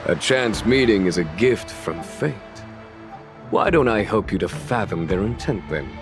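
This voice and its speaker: A man playing a wise, shrewd general in a game, speaking in a measured, formal and quietly amused manner. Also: on the low side, lively intonation, over music. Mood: courteous, knowing. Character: wise, calm, shrewd male general, recuperating. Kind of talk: English game voice acting, measured dialogue.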